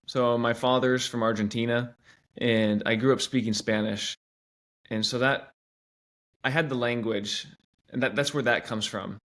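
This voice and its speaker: A man's voice: mid-range voice, fairly even intonation, unhurried, a bit bright. A man in his thirties from Washington State, a teacher answering interview questions earnestly and with many restarts. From Washington State, USA. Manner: earnest, many restarts and "you know" asides. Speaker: teacher and guest.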